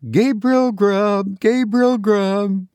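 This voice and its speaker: A male narrator puts on wild, unseen storybook goblins. The cry is high, eerie and slowly drawn out, like a chant. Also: steady tone, darkish tone, clear, resonant tone, no room sound. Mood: accusing. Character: wild screaming chorus of invisible goblin voices.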